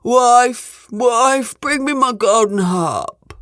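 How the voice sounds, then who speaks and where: A woman voicing a huge, gruff, bossy male man-eating ogre in a storybook, with commanding declamation. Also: medium pitch, expressive, slow, no echo, clean studio sound.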